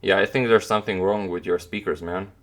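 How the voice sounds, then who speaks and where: A man's voice: low voice, steady tone, brisk, a bit bright. A young man with a Croatian accent, chatting loosely with viewers on a live stream, hesitant with many fillers. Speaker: chess streamer answering chat. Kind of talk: casual live-stream chat.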